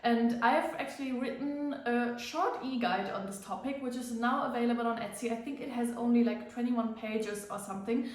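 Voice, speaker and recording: A woman with a noticeable German accent talks casually to her viewers, her voice sitting a little high. She chats along with plenty of fillers and a slightly self-conscious manner. The room around her rings with heavy echo. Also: moderate pace, hardly any pauses. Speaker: fitness vlogger. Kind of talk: casual vlog to camera. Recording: very echoey room.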